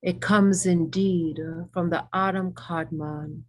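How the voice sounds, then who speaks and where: A woman leading a guided meditation with ASMR elements, speaking reverently in short, measured phrases with pauses between them. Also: on the low side, fairly even intonation, a little mellow.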